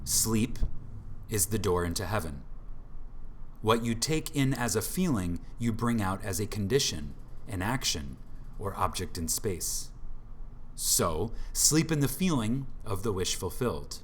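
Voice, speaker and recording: A man reading an audiobook from a script in an emphatic, deliberate manner, with dramatic pauses for effect. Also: on the low side, lively intonation, a little hiss or hum. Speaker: audiobook narrator.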